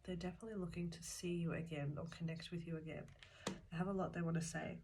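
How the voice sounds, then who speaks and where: A woman with a slightly low voice reads tarot cards, a cold making her throat catch and fade. She talks straight to the listener in an earnest, calm way. Loud noise fills the recording behind her. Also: quick pace. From Australia. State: has a cold, voice cutting in and out. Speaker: tarot reader. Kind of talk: pick-a-card tarot reading. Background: shuffling cards and clicks.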